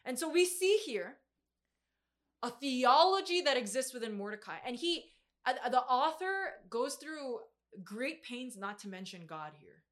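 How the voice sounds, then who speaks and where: A woman's voice: sits high, big pitch swings, unhurried, a bit bright. A woman in her mid-twenties preaching a sermon in a conversational, informal and emphatic way, with youthful slang. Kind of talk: sermon to a group, conversational Bible exposition.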